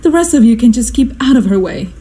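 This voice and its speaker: An actress playing a fussy, imperious, status-hungry society mother in a radio drama, theatrical and exclamatory, with a husky voice. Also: fairly high pitch, lively intonation, quick pace, warm tone, lightly acted. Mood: brisk, commanding.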